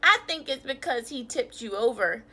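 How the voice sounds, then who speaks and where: Woman as a bright, meddling gorilla neighbour. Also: fairly high pitch, expressive, normal speed. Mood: concerned.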